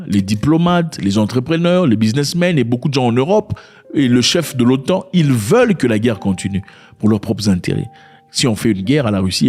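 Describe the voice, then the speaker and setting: A man's voice: fairly low pitch, a little mellow, breathy edge. A man, not a native French speaker, casually explaining politics to viewers, often turning to ask if they follow him. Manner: repeats and restarts words, addresses viewers directly. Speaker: political commentator.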